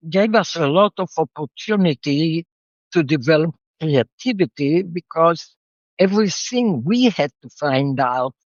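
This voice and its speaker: A woman's voice: deep voice, no echo. An older woman from Israel, reflectively telling the story of her life as an interview guest, with a slightly muffled sound.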